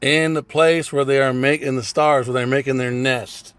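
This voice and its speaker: A man's voice: medium pitch, fairly even intonation, normal speed, a bit bright, smooth, dry recording. A man giving a blunt, profane religious commentary in a casual ranting style, swearing heavily.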